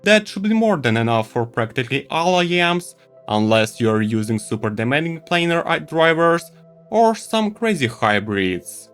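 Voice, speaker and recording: Animated man reviewing gadgets over faint music. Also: a little high, normal speed, smooth. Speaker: tech reviewer. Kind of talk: scripted product review narration.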